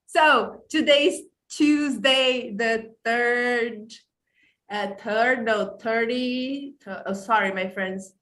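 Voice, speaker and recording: A woman from Brazil, speaking English as a second language, hosts a casual online podcast over a video call. She talks slowly in a fairly high voice, effusive and a little halting, pausing to correct herself. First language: not English. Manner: warm, effusive, stumbles and apologizes often. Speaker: podcast host. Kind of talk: casual online livestream hosting.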